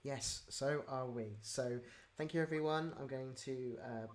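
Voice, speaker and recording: A man's voice: medium pitch, a little slow. A man hosting a livestream, chatting casually with the audience. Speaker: livestream host. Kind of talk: casual livestream sign-off chat.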